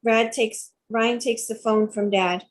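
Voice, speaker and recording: A woman's voice: on the high side, fairly even intonation, takes it slowly. Woman reading stage directions, slight hiss. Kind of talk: scripted narration.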